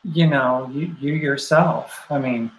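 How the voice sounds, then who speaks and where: A man co-hosting a casual talk show from a home kitchen, chatting in a relaxed way and chiming in. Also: mid-range voice, takes it slowly, slight background noise. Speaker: co-host. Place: small home kitchen, indoors. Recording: echoey kitchen room sound.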